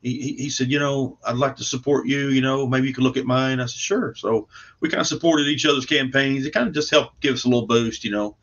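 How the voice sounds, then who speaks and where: A man speaking as a guest in a casual interview, in a halting, plain-spoken way. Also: mid-range voice, fairly even intonation, fairly bright tone, muffled recording. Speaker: guest comic book creator.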